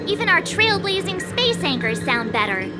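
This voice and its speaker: A small, cute game sidekick with an extremely high, lively voice. The delivery is cheeky and blunt, with loud music behind it. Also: female voice, moderate pace. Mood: skeptical, teasing. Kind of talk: video game character acting.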